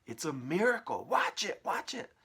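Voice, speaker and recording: A man voicing an excitable, bossy aunt from a children's storybook, exclaiming in short, agitated bursts. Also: fairly high pitch, moderate pace, husky, voice pushed up, exaggerated voice. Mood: awestruck.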